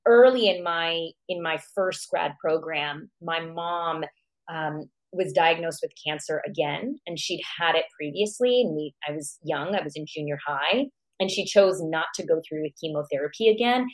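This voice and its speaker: A woman's voice: sits low, moderate pace, poor audio. A woman born in Iran and raised in America, a psychologist guest, reflecting on her life and thinking aloud with questions to herself. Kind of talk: reflective personal storytelling.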